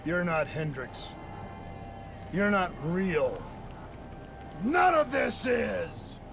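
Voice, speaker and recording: A driven soldier in a dramatic video game, voice strained high and swinging widely between grief and defiance. He speaks slowly and deliberately, with loud music behind him. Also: very animated, gravelly, exaggerated voice. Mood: defiant anguish. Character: desperate, defiant younger male soldier, grieving and determined.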